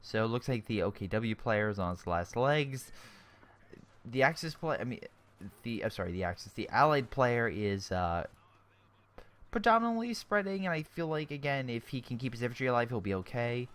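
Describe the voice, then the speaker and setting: A man's voice: medium pitch, very animated, moderate pace, a bit bright, smooth. A young man with a sore throat giving casual, chatty commentary on a strategy game replay, often correcting himself mid-sentence and airing blunt opinions.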